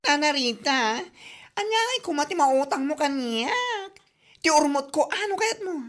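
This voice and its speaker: A woman's voice: high-pitched, very animated, a little slow. A woman playing a sassy, teasing younger neighbour in an Ilocano comedy drama, joking cheekily with an Ilocano accent.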